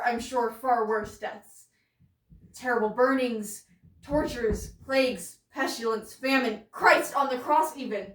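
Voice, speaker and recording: Woman playing a grieving, embittered woman, stage monologue, deliberate and confiding, slightly high voice, strong room echo. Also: fairly bright tone. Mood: questioning, indignant. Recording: roomy echo, distant microphone.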